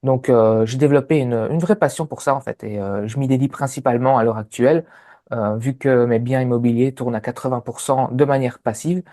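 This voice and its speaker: A man's voice: medium pitch, fairly even intonation, fairly quick, dark tone. A man in his thirties with a Sicilian Italian accent, telling his story as an interview guest, with many hesitant fillers. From Sicily, Italy. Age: 30s. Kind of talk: relaxed personal story.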